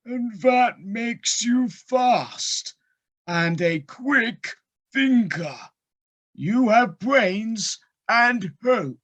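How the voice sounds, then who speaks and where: A woman playing a wise, encouraging woodland tree in an amateur audio play, with a deliberate, exhorting manner. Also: fairly high pitch, lively intonation, lo-fi sound, full character voice.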